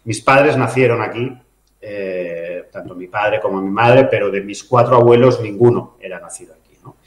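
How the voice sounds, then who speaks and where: A man from talks through interview answers as a mayor. He is measured and reflective, full of small pauses and fillers, and his voice rings a little in an echoey room. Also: lowish voice. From Catalonia, Spain. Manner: measured, thoughtful, many filler "eh" and tag "¿no?".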